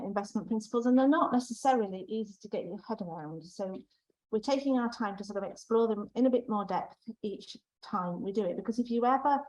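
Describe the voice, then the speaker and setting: Squeaky lady presenting at a meeting, fairly high, friendly. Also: low-quality recording. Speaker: network chair. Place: theatre stage.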